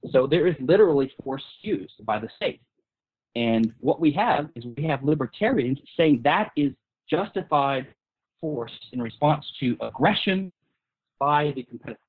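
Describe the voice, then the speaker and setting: Husky man arguing in discussion. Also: on the high side, animated, moderate pace, poor audio. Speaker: guest speaker on intellectual property. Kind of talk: informal discussion.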